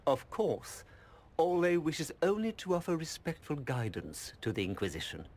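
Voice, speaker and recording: A man playing a smooth, diplomatic nobleman in a video game, formal and courteous. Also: on the high side, wildly swooping pitch, unhurried, darkish tone, slight background noise. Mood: courteous, earnest. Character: courtly male Orlesian duke, smooth diplomat. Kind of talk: video game cutscene acting.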